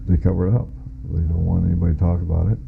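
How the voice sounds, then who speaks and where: A middle-aged American man giving personal testimony as a witness, plain-spoken and halting as he recounts it. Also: low-pitched, completely flat delivery, vocal fry. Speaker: witness, former air traffic controller. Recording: muffled phone-like audio with faint music.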